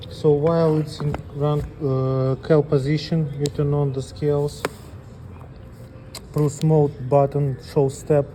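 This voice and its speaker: Male technician for how-to demo, slow plain delivery, relaxed timbre, slight background noise. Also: on the high side. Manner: plain step-by-step explaining. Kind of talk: hands-on instructional demonstration.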